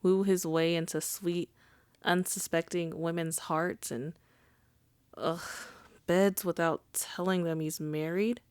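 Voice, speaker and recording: A woman's voice: on the low side, darkish tone, smooth, no echo. A woman playing a wry, charming female mafia boss in an intimate ASMR roleplay, conversational and teasing. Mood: wry, indignant. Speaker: audio roleplay voice actor.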